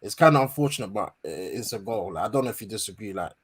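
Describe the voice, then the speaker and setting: A man hosting a casual football fan stream, talking in relaxed slangy banter with many fillers. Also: lowish voice, quick pace, husky. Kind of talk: casual live-stream chat.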